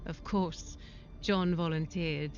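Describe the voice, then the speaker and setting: A woman playing a solemn female supersoldier, narrating reflectively as a character in an animated sci-fi film. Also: deep voice, slow pace, dull audio, faint music behind. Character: solemn female supersoldier recalling her comrades.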